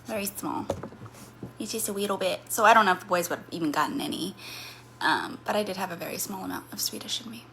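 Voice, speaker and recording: A woman's voice: mid-range voice, fast talker, gravelly, a bit bright, a little hiss or hum. A woman in her thirties from Missouri with a slight Missouri accent, chatting casually on a livestream and answering chat comments in a rambling way. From Missouri, USA.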